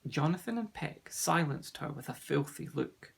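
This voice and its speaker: A man's voice: a little high, animated, moderate pace, gravelly, fairly bright tone, a little hiss or hum. A man narrating a children's story from a script as an audiobook reader.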